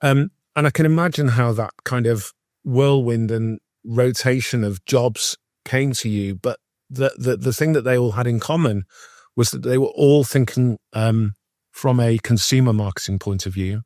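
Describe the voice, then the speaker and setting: A man's voice: medium pitch, moderate pace, darkish tone, no room sound, clean studio sound. A middle-aged man hosting an interview, chatty and enthusiastic, with lots of fillers and long winding questions. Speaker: interview host, former journalist.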